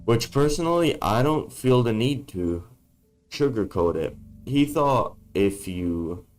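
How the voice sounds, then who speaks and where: A man talking in a casual vlog from a dark room, blunt and swearing freely, his voice worn from being sick. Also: mid-range voice, animated, slow pace, faint music behind. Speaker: YouTuber.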